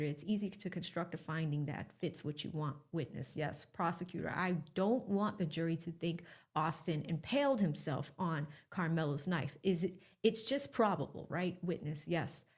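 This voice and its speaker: A woman's voice: low voice, normal speed, few pauses. A woman reporting testimony by reading a trial recap aloud in a factual, clipped question-and-answer delivery.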